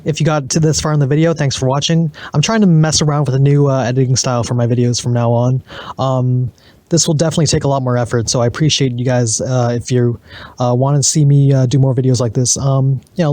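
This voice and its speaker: Male gaming video creator, medium pitch, informal and slightly hesitant, casual guide-style commentary. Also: warm tone, dry recording.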